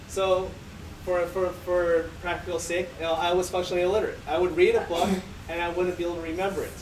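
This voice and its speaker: A man whose first language is Chinese gives a self-deprecating conference talk, stumbling over fillers and cracking jokes. His voice sits slightly high, picked up from far off in an echoey hall with noticeable room noise. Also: little pitch movement, fairly quick. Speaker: conference presenter.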